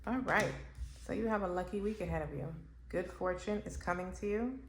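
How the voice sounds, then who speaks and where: A woman doing a casual pick-a-card tarot reading for her channel. She thinks aloud slowly, with pauses and fillers. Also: medium pitch. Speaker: tarot reader. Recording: echoey room with faint background noise.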